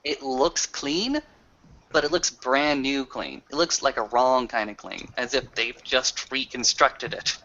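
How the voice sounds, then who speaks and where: A man with a middling pitch narrates in character for a roleplaying game. His phrasing is wordy and slightly formal, with swearing mixed in. The recording sounds dull and lo-fi. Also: measured pace, bright, forceful tone, a little hiss or hum.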